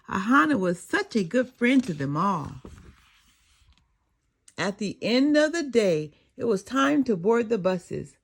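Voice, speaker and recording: Animated, husky woman reading aloud. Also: medium pitch. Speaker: children's storybook reader. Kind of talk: expressive read-aloud narration for children.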